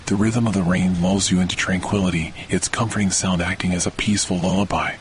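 A man narrating a scripted guided sleep meditation in a slow, soothing, deliberate way with long pauses. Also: low voice, slight background noise. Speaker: podcast host, meditation guide. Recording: steady rain and thunder behind voice. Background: rainfall with thunder.

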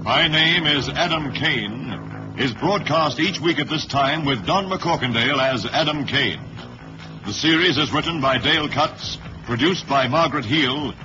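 Higher-pitched male radio announcer. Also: measured pace. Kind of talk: scripted closing credits announcement. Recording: muffled old radio, music behind.

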